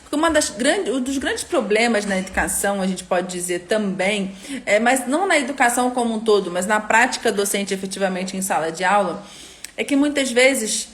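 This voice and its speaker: A young adult woman from Rio de Janeiro with a softened Carioca accent, explaining a teaching method in a live online class, often tagging sentences with little checks to her listeners. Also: medium pitch, expressive, a little fast. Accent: Carioca Brazilian Portuguese, softened. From Rio de Janeiro, Brazil. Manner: explanatory, frequent tag questions. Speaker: educator and course host.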